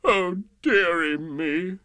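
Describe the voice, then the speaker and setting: A man's voice: high-pitched, very slow, bright, forceful tone, clear, resonant tone, no echo, pitched above the performer's own voice, full character voice. A man voicing a fussy, childish king with plaintive, theatrical delivery in a rhyming storybook. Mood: sobbing, whimpering.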